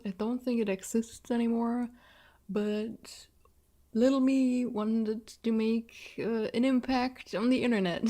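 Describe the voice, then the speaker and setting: A woman's voice: fairly high pitch, dark tone. A woman in her early twenties from southwest Germany, speaking English with a German accent, hosting a casual solo podcast and chatting in a self-deprecating way with frequent laughs. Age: 20s. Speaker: podcast host.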